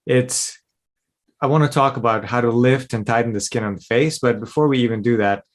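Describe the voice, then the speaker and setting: A man's voice: medium pitch, a little fast. A man hosting a podcast, asking questions in a conversational way with short encouraging responses. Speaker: podcast host. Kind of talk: interview questions.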